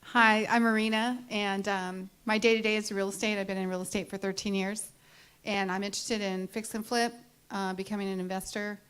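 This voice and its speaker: A woman's voice: medium pitch, flat delivery, a little fast, fairly bright tone, clear, resonant tone. A woman from Nebraska who works in real estate, introducing herself plainly. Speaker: realtor.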